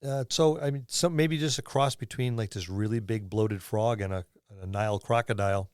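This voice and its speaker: A man's voice: lowish voice, a little fast, a little mellow, no room sound. Man chatting loosely, close dry sound. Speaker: podcast co-host. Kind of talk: casual chat about tabletop gaming.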